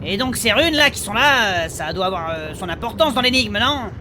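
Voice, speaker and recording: A man's voice: high-pitched, lively intonation, fairly quick, noisy background. A man playing an eager, curious adventurer in a comic fantasy audio drama, casual, slangy and a little hesitant. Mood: curious, puzzled. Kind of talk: French fantasy comedy audio fiction acting.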